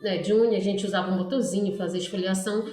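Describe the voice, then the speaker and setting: A woman's voice: medium pitch, steady tone, quick pace, dark tone, soft background music. A middle-aged Brazilian woman chatting casually and in a rambling way to her followers about herself, with frequent tag questions. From Brazil, born in Ceará, raised in Pará. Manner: rambling, frequent "né" tags, addresses viewers. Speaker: hairdresser making a video for followers. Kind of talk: casual chatty vlog monologue. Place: echoey space by a busy street. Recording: echoey, distant microphone.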